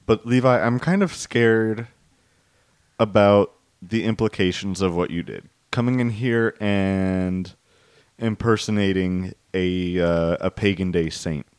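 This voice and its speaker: A man hosting an improvised comedy podcast, talking quickly with bursts of laughter and mock alarm. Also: sits low, fairly even intonation, a little mellow, clean tone, dry recording, studio quality. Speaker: podcast host.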